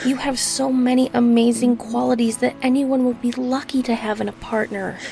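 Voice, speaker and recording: Woman acting a higher-voiced, nurturing, soothing friend. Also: creaky, dark tone. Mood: earnest, encouraging. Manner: soothing, reassuring, tender. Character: gentle, nurturing woman friend comforting someone heartbroken. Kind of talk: comforting audio roleplay acting.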